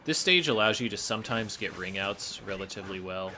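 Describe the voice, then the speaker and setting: A man's voice: fairly low pitch, animated, fairly quick, background noise. A man giving explanatory gameplay commentary as a speedrunner, matter-of-fact and instructive. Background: faint game music.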